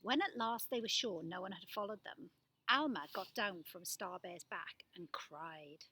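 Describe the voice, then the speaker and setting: A woman reading a children's storybook aloud as a storyteller, with expressive, dramatic delivery. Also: fairly low pitch, measured pace, a little hiss or hum.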